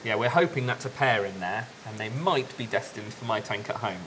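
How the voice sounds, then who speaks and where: A young man on staff at a fish shop, explaining his tanks in a relaxed way with lots of ums and yeahs, recorded in a large indoor shop. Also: fairly low pitch, a little fast, slight background noise, poor audio. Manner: relaxed, explains with fillers like um and yeah. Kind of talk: casual walkthrough chat.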